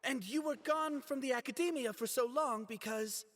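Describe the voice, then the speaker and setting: Flustered, proud young student in reverb. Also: male voice, very high voice, normal speed, a bit bright, a little hiss or hum. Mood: puzzling, flustered. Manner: hesitant, earnest, defensive. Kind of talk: dramatic game character acting.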